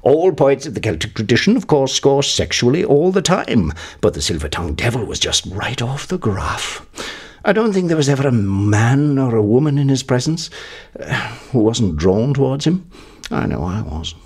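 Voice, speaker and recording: Husky, swooping, pompous old professor. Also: male voice, mid-range voice, big pitch swings, normal speed. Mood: gleefully frank. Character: earnest, pompous emeritus male English literature professor, secretly lustful. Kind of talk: mock documentary interview.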